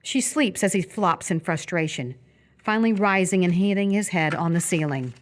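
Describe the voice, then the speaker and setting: A woman's voice: fairly low pitch, normal speed. A woman reading scripted stage directions as the narrator of a live radio theatre piece.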